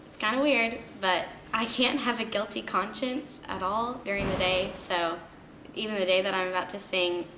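A woman's voice: fairly low pitch, roomy sound, background noise. A woman in her twenties from Oklahoma, answering interview questions in a giggly, chatty way. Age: 20s. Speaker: singing contest entrant. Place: wood-panelled room, seated in armchair.

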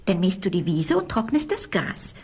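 A woman voicing a little female cat, gentle but commanding mistress of a castle, in a fairy-tale radio play, meowing between phrases. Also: fairly low pitch, very animated, exaggerated voice. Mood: brisk, instructing. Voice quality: breathy, husky, with meows between phrases. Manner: measured, instructing, punctuated with meows.

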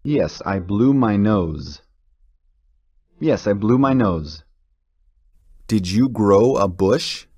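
A man teaching English, reading each drill sentence twice in a deliberate way. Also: on the low side, lively intonation, darkish tone, husky. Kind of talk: slow, repeated drill sentences for learners.

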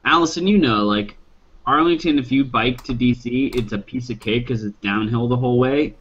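A man's voice: mid-range voice, moderate pace, a bit bright, slightly rough. A man in his late twenties, a game player chatting casually, rambling with lots of fillers over a video call headset. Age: 20s. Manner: rambling, many likes. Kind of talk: casual chat. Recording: dull lo-fi call audio.

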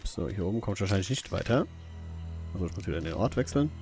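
Animated low-voiced man playing games. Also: quick pace. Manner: playful, muttering to himself. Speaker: let's play host. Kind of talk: casual gaming commentary. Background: faint game music.

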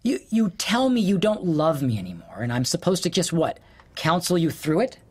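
An actor playing an exasperated, sarcastic husband in an audio drama, hurt and profane in a late-night argument. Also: male voice, on the high side, big pitch swings, normal speed, husky. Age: 40s.

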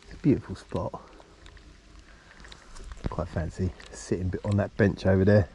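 A man speaks in a low, mellow voice, slightly affected by a cold. He chats in a relaxed, half-to-himself way as an angler filming his outing, with faint outdoor ambience behind him. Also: moderate pace, slight background noise, poor audio. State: has a bit of a cold. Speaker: angler filming a fishing vlog. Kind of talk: casual chat while fishing. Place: outdoors on a riverbank. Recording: outdoor action camera with background ambience.

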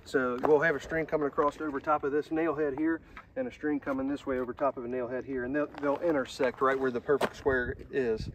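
Man, thirties, relaxed plain talk, lots of uh, outdoors on a job site, phone audio, noisy background. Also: medium pitch, fairly quick. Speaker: vlogger building a pole barn. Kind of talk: casual how-to narration. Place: outdoors on a gravel building site. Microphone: handheld phone camera.

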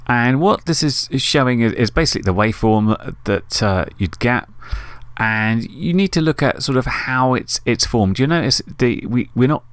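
A man's voice: medium pitch, expressive, normal speed, no room sound. A man hosting a casual screen-recorded tutorial, thinking aloud informally as he works. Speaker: tutorial host. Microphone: USB desktop microphone.

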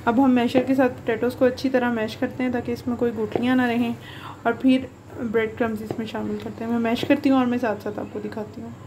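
A woman's voice: a little high, flat delivery, fast talker, background noise. A young woman hosting a home cooking channel, explaining a recipe step by step in a chatty, homely way with many fillers. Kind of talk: casual cooking tutorial voice-over. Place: home kitchen.

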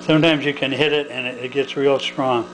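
An older man with an American accent giving a casual hands-on demonstration in a halting, folksy, plain-spoken way. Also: medium pitch, fairly even intonation, background noise. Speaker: hobbyist demonstrator. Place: indoors at a home workbench.

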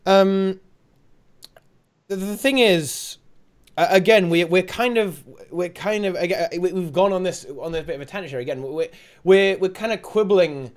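A man's voice: high voice, normal speed. A man rambling emphatically in a casual video-call chat.

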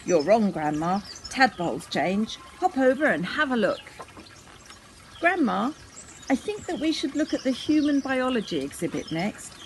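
A woman's voice: on the high side, sing-song, moderate pace, a little hiss or hum. A woman voicing an eager, knowledgeable young child in a storybook reading. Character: eager, knowledgeable young child guiding Grandma round a museum.

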